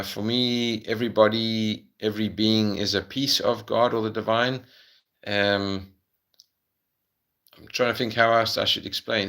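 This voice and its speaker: A middle-aged South African man talks through viewers' questions on a live stream at home. His voice sits a little low but sounds bright and forward. He pauses often, with plenty of ums and uhs and restarts. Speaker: medium answering viewer questions on a livestream. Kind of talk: casual livestream Q&A.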